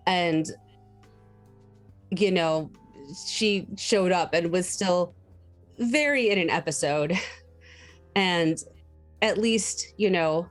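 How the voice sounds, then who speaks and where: Woman talking at a very slow pace. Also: on the low side. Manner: halting, thoughtful. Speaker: tabletop roleplay player. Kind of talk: casual talk about her character. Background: music.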